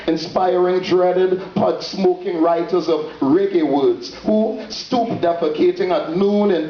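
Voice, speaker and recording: A man gives a dramatic spoken-word poetry reading, phrasing theatrically and solemnly with deliberate pauses. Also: high-pitched. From Trinidad and Tobago. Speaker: poet. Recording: dull lo-fi, roomy echo, distant, faint noise.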